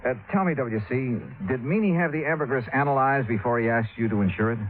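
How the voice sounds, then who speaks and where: An actor playing a calm, shrewd male investigator, questioning and narrating in measured old radio detective style. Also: mid-range voice, lightly acted. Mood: businesslike. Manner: measured, matter-of-fact, probing questions. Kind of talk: 1950s-60s radio detective drama acting, first-person narration. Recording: tinny old radio recording, music behind.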